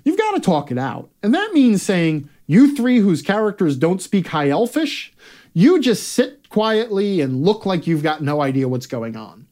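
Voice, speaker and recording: Male, brash sarcastic columnist reading aloud, very lively swooping intonation, theatrical stresses. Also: high voice, measured pace. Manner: brash, profane. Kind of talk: scripted comedic rant read aloud.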